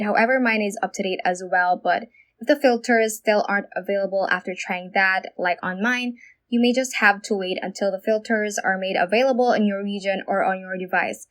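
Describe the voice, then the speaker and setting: A woman's voice: medium pitch, fairly bright tone. A woman presenting a step-by-step phone app tutorial as a voice-over. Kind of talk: how-to screen tutorial narration.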